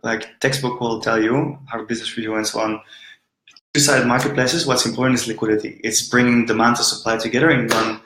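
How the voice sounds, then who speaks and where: A man's voice: mid-range voice, fairly even intonation, fairly quick, a bit bright, echoey room, poor audio. A man from Belgium with a Belgian accent, a startup founder telling his story in a casual interview, often saying "look" and "right?". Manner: chatty, peppers talk with "look" and "right?".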